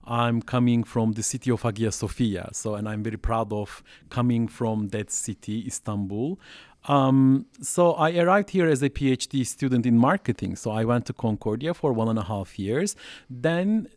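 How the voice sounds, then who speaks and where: A man's voice: mid-range voice, normal speed, darkish tone, no echo. Guy in his 30s, earnest, keeps saying so, dry close sound. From Turkey. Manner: earnest, repeats "so", laughs lightly. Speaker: guest. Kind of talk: personal storytelling in interview. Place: college classroom.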